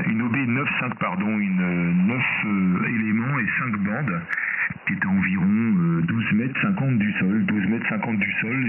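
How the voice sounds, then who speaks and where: A man with an accent from France speaks in a fairly low voice, in the casual manner of a ham radio operator. He comes through a thin, distant radio link with plenty of static and clicking. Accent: French from France. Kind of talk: casual ham radio chat with spelled call signs. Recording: noisy, narrow-band, distant shortwave radio.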